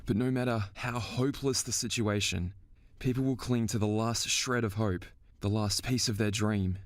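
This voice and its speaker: Guy playing a somber fighter telling his war story, lowish voice, serious game narration. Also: fairly even intonation, moderate pace, lightly acted. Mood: grave, resolute. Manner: solemn, earnest. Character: somber, resolute young warrior recalling a war. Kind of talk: dramatic scripted game narration.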